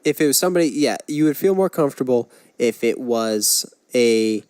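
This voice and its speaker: A man's voice: mid-range voice, animated, moderate pace, a little mellow, smooth, no echo. A man co-hosting a podcast, casually discussing films and giving his opinions with examples. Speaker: podcast co-host.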